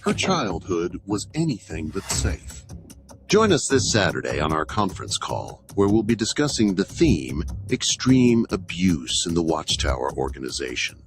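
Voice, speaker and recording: A man's voice: sits low, very animated, normal speed. A man reading a dramatic promo voice-over as an announcer. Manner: dramatic, announcer-style delivery. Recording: voice-over over music.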